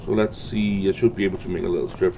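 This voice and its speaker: A man's voice: sits low, steady tone, fast, mellow, a little hiss or hum. A man giving unscripted game commentary, muttering to himself and reading item names aloud. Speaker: gamer recording a let's play.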